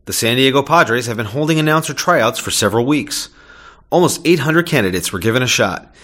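A man reads a local sports news item for a community radio station. His delivery is upbeat and announcer-like, at an everyday middle pitch. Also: lively intonation, fairly quick, fairly bright tone. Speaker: news reporter. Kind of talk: scripted radio news read.

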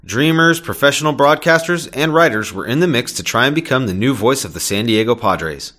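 A man's voice: medium pitch, lively intonation, brisk, fairly bright tone. Upbeat man reading radio news. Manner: upbeat, announcing. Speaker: news reporter. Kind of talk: scripted radio news read.

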